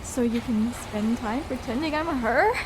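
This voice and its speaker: A very high, slow young female voice over clearly audible rain noise. A woman plays a shy, vulnerable first-time escort in an intimate ASMR roleplay. She is tender and hesitant, feeling her way through each phrase. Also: natural voice. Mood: pleading, probing. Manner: hesitant, halting, soft-hearted. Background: rain falling.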